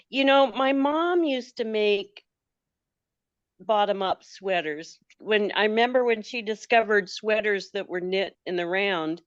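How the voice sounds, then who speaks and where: An older woman with a cold, sounding a bit gravelly, chatting on a knitting video call. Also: mid-range voice, no echo, muffled recording. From Portland, Oregon, USA. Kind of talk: casual chat.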